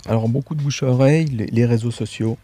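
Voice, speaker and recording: A man's voice: medium pitch, quick pace. A man, an actor guest on a radio show, who talks hesitantly and often repeats his words. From Switzerland. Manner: hesitant, repeats words, soft trailing phrases. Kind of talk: radio interview.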